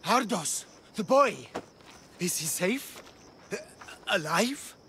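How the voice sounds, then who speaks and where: Slow, weary old man. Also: high-pitched, expressive, a little hiss or hum, full character voice. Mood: anxious, haunted. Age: older. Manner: halting, grave. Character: weary, guilt-ridden old Greek man, gentle guardian. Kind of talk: video game cutscene acting. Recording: loud music behind.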